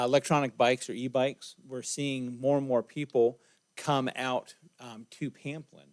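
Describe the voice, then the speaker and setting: A man's voice: mid-range voice, no echo. A man who manages a state park gives an informal update to a county board, with many ums and uhs. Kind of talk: public presentation at a county board meeting. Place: county board meeting room.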